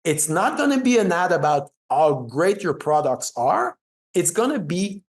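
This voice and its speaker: A middle-aged man with a French Canadian accent, French his first language, speaking as a guest in an interview, telling stories with emphasis and frequent restarts. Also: a little high. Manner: animated, emphatic storytelling with restarts. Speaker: PR agency founder, guest. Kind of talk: conversational interview.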